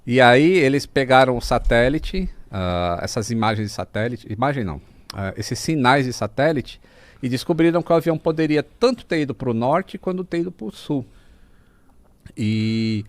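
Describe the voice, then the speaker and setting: A Brazilian man in casual podcast conversation, explaining things as a lively storyteller. His pitch moves up and down a lot and he likes to mimic sounds. The recording is close and dry. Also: moderate pace, no room sound. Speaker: podcast guest.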